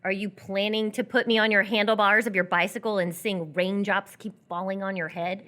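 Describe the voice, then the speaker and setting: A woman playing a coy, lonely young captive in live radio theatre, playful and evasive, with hesitant pauses. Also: mid-range voice, level tone, sharp tone, natural voice. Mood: teasing.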